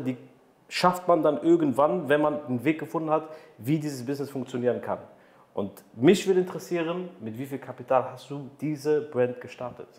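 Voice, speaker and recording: A man's voice: mid-range voice, a little mellow, reverberant. A man in his thirties hosting an interview podcast, asking questions and driving home motivational points with emphasis. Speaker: podcast host. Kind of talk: interview conversation. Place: studio room with city view. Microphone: desk microphone on boom arm.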